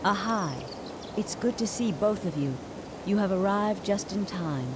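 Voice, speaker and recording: An actress playing a seasoned, composed female commander in a fantasy game, speaking in a formal, measured, authoritative way. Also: medium pitch, animated, noisy background, lightly acted. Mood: commanding. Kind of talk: scripted fantasy game acting.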